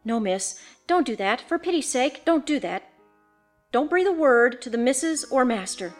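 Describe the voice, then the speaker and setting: A woman acts out a superstitious, anxious servant woman in a storybook ghost tale. Her voice is high and lifts with emphasis, exclamatory and folksy, always deferential. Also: expressive, normal speed, soft background music. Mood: fearful pleading. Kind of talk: dramatised audiobook ghost story.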